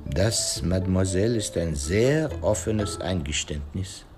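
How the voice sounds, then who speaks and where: An actor playing a shrewd, courteous detective in a radio play, speaking formally and deliberately with French phrases and musing hesitations. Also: male voice, low-pitched, expressive, over music. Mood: courteous, probing. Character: shrewd, courteous male detective, pensive and probing.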